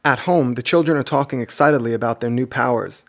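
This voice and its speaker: A man's voice: mid-range voice, quick pace. A man reading a scripted children's story as its narrator. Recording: music behind the voice. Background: background music.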